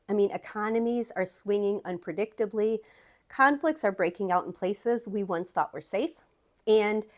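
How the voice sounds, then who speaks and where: A woman from the American Midwest speaks with a Wisconsin accent, sounding like someone in her thirties. She talks straight to camera as an immigration attorney, chatty and upbeat, restarting with "okay" and "um" as she goes. Her pitch sits in the middle range for a woman. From United States. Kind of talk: direct-to-camera talk.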